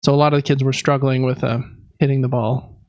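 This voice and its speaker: A man's voice: mid-range voice, little pitch movement, quick pace, darkish tone, no echo, muffled recording. A man in his thirties hosting a casual podcast, rambling along in a chatty, self-deprecating way. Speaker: podcast host. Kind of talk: casual chat about games and life.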